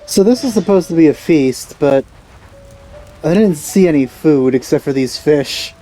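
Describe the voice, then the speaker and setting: A woman's voice: sits low, expressive, a little slow, mellow, soft background music, poor audio. A woman hosting a casual let's play, musing aloud in an offhand way as she goes. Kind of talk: casual gameplay commentary.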